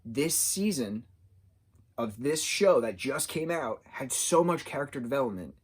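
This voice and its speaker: A man of about thirty giving a casual, unscripted TV review, rambling and halting as he searches for his words. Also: medium pitch, lively intonation, breathy edge. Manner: rambling, halting, loses his train of thought. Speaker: TV show reviewer.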